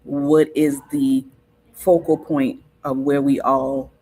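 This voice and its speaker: A woman's voice: very deep voice, little pitch movement, slow pace, mellow, slightly rough, poor audio. A woman from South Carolina, a podcast guest telling stories in casual conversation, thoughtful and often stopping to restart with ums. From Hilton Head, South Carolina.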